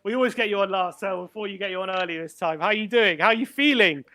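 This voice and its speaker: A man's voice: high voice, fast, pushed and bright. A man hosting a fan phone-in, upbeat and chummy, laughing often. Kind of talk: casual fan chat.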